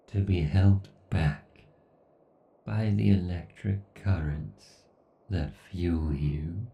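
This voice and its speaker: A man playing an obsessed, condescending villain AI god in a horror ASMR roleplay, with a brooding, theatrical, menacing delivery. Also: full character voice. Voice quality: gravelly, dark, low. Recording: dull, roomy, slightly distant sound.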